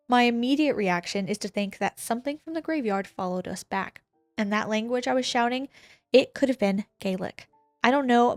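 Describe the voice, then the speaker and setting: A woman's voice: a little high, dry recording. Woman reading a spooky letter aloud. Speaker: podcast co-host. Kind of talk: reading a listener's ghost story aloud.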